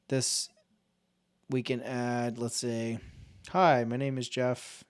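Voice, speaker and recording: A man hosting a casual software tutorial, talking in a relaxed, informal way and thinking aloud as he clicks through settings. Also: mid-range voice, clean tone. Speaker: tech tutorial host. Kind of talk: casual screen-share software walkthrough.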